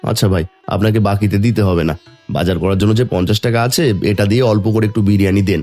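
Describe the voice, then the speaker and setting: A man playing a poor, gentle, doting village father in a Bengali cartoon, pleading and humble. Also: lowish voice, racing, natural voice. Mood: gentle, resigned. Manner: pleading, humble, soft-hearted. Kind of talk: Bengali fairy tale cartoon dubbing. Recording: music under the voice.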